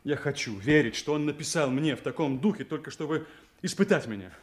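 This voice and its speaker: A man acting a scheming young nobleman son in a classical audio play, deliberate and insinuating, with smooth false deference. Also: a little high, animated, lightly acted. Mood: feigned reluctance.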